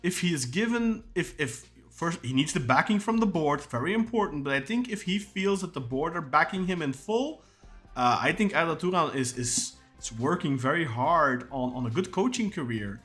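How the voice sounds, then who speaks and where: A man's voice: fairly high pitch, animated, moderate pace, fairly bright tone, faint music behind. A man co-hosting a casual football podcast, chatting and reading viewer comments aloud. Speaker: podcast co-host.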